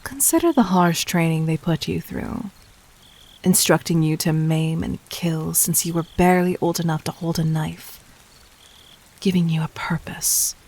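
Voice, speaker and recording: A woman playing a regal, cunning, gently dominant demon queen in a roleplay audio drama, speaking formally and intimately with measured, breathy poise. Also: low voice. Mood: probing, tender. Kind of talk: scripted roleplay audio drama addressed to the listener.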